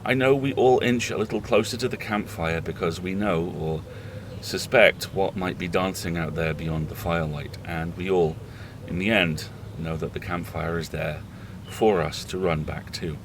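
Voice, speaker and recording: A man acts as a weary horror host in an audio drama, confiding in the listeners. His voice is on the low side, and he tells it with halting, deliberate earnestness. There is clear ambient noise behind him. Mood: trusting, resolute. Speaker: horror podcast host playing himself.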